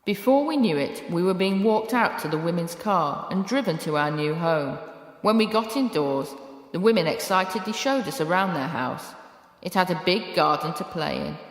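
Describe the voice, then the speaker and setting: A woman's voice: fairly low pitch, expressive, normal speed, close to an everyday voice. A British woman voicing a loving, clever rescue dog that tells its own story in a bedtime storybook style. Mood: happy, contented. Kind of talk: first-person storybook narration. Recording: echoey room with long reverb.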